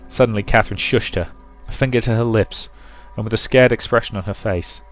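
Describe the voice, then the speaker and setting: Low-voiced man reading a story aloud. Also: brisk, faint music behind. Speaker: let's player reading a visual novel aloud. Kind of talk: dramatic storybook-style narration with commentary.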